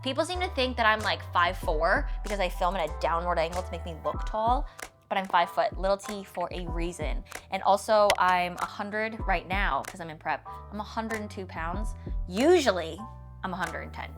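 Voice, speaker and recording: A woman's voice: on the low side, moderate pace. A woman of 29 with a Canadian accent, chatting casually and informally to followers with emphatic, self-mocking asides. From Toronto area, Canada. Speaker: fitness content creator and vlogger. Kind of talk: casual rapid-fire Q&A chat to followers. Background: background music.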